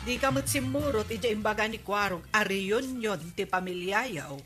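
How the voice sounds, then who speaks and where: A woman's voice: mid-range voice, moderate pace, loud music behind the voice, natural voice. A woman playing a nagging, gossipy village wife in an Ilocano radio comedy, with an Ilocano accent and a scolding manner. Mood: neighborly. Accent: Filipino, Ilocano.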